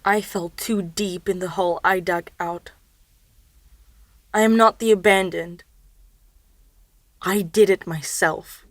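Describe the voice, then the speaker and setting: An actress playing an anguished, defiant mythical Cretan woman in a poetic audio drama, with intense, deliberate delivery. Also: lowish voice, fairly even intonation, dry recording. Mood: regretful, defiant.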